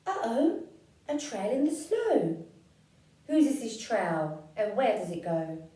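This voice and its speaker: A woman doing a high, bold little monster girl. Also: expressive, takes it slowly, dark tone. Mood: wary excitement. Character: curious young female monster cub. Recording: echoey room, far from mic.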